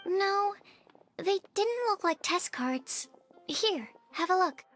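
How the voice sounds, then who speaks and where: A woman's voice: very high-pitched, expressive, slow pace, fairly bright tone, soft background music, full character voice. An actress playing a shy, earnest young female researcher in a video game, speaking politely, precisely and a little hesitantly. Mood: puzzled, innocent.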